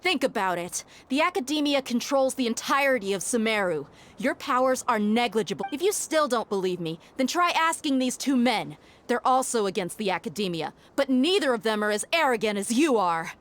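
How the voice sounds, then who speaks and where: A woman's voice: a little high, animated, fairly quick, hardly any pauses, a little hiss or hum, lightly acted. An actress playing a blunt, hard-headed mercenary woman in a video game, speaking firmly and curtly with reproach. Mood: dismissive. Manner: firm, curt, reproachful.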